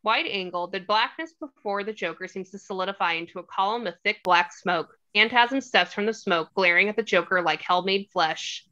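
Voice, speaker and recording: A woman's voice: medium pitch, normal speed, hardly any pauses, bright, forceful tone, lo-fi sound. A woman reading stage directions as scripted narration at a table read.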